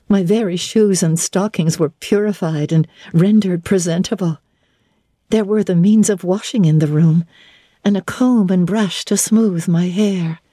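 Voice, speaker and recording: Female audiobook narrator, mellow and husky, deliberate dramatic reading, dry close sound. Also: lowish voice, no echo. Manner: deliberate, expressive, formal diction. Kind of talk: dramatic literary narration, first person.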